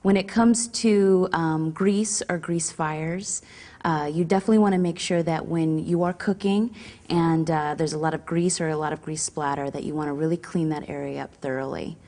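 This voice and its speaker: A woman with a low voice explains safety guidance like an advisor. Her phrasing is halting and instructional, feeling its way through each point. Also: fairly even intonation, a little mellow. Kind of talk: semi-scripted instructional explanation.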